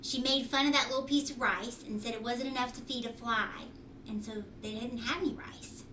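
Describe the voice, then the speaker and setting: A woman's voice: on the high side, steady tone, normal speed, pushed and bright. A woman reading a children's storybook aloud like a teacher, explaining and checking in with listeners with questions. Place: at home indoors. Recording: roomy home recording, distant mic, faint noise.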